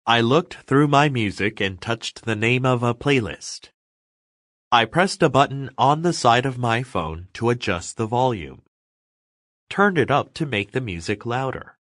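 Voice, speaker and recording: A man narrates an English lesson in a middle-pitched voice. He goes slowly and pronounces everything with care, in a dry, echo-free recording. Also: lively intonation, a bit bright. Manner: careful, measured enunciation. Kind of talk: scripted first-person narration for learners.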